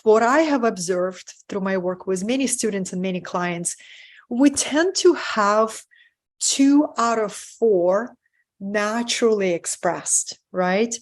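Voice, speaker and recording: Russian-accented woman leading a webinar. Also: mid-range voice. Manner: warm, earnest, often asks "right?" to the audience. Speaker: spiritual business mentor. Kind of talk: live webinar presentation.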